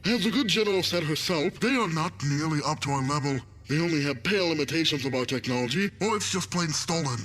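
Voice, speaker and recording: A man's voice: a little high, lively intonation, quick pace, fairly bright tone, faint music behind. A man playing a dismissive, evasive alien intelligence official in a science fiction audio drama. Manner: dismissive, hedging.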